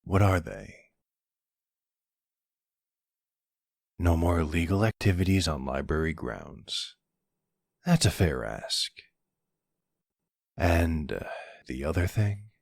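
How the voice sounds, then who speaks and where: Man playing a gravelly, very low-voiced mafia boss. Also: expressive, darkish tone, no room sound, clean studio sound, exaggerated voice. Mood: flirtatious, amused.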